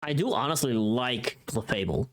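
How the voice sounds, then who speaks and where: A livestream host chatting casually with viewers in an animated, informal, sweary way. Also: mid-range voice, moderate pace.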